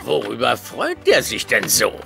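Creaky, grumpy squid. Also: male voice, mid-range voice, huge pitch swings, measured pace, over music, heavily acted. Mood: dismayed, sour. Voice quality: nasal, creaky. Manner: sour, theatrical, whiny. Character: grumpy, vain, humorless male squid. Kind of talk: German cartoon audio drama acting.

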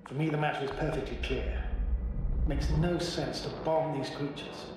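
A man's voice: fairly high pitch, fairly even intonation, normal speed, lightly acted. A man playing an earnest, insistent astrogator in a video game, speaking emphatically in formal phrases, in a very echoey, noisy space. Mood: certain. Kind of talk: dramatic video game dialogue. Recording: very echoey hall with noisy ambience.

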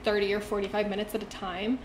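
A young woman chats casually and a little giggly as a podcast guest. Her voice is mid-pitched. The room is echoey with a faint hiss. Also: level tone, fast talker. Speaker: company marketing chief, podcast guest. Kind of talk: casual chat. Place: sunny glass-walled room, indoors. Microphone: small desk mic on stand.

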